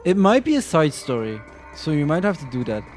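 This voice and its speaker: A man with a slightly higher voice than most talks casually to his stream chat, halting and restarting as he explains things. Faint game music plays under him. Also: big pitch swings, a little mellow. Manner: halting, full of restarts and fillers while answering chat.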